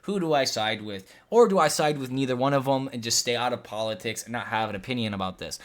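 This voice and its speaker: A man's voice: mid-range voice, fast. A man from Lebanon giving a heated reaction commentary, arguing emphatically and hammering the same points again and again. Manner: argumentative, emphatic, repeats points, rising to near-shouts.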